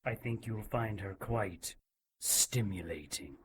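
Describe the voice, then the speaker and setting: A man's voice: on the low side, takes it slowly, noisy background. An actor playing a cruel, zealous religious inquisitor in an audio drama, menacing and mock-polite as he coldly gloats. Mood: cold menace.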